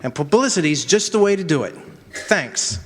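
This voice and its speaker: A man playing an earnest, quick-witted lawyer in a radio drama, with a persuasive manner. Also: on the high side, sing-song, fairly bright tone, a little hiss or hum. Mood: shrewd. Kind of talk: stage radio drama acting. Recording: echoey hall, long reverb.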